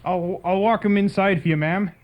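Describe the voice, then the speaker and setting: A man's voice: high voice, fairly even intonation, moderate pace, lo-fi sound, a little hiss or hum, lightly acted. A man playing an eager, awkward carriage hand in a radio drama, hesitant and stammering with a folksy way of talking.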